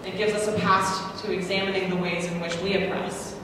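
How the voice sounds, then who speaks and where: A woman's voice: medium pitch, flat delivery, fairly quick. A woman from the United States speaking as a panelist, earnest and self-deprecating, with many ums. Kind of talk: conference panel talk. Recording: echoey hall from far off, noisy.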